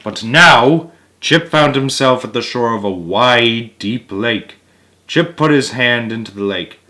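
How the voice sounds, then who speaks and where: A man reading a children's book aloud in a middle-pitched voice, pausing to add casual, chatty comments of his own. He sometimes trips over a word and restarts it, which keeps the reading relaxed and homemade. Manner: chatty asides and small chuckles between passages; occasional stumbles and restarts. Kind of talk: read-aloud with casual asides.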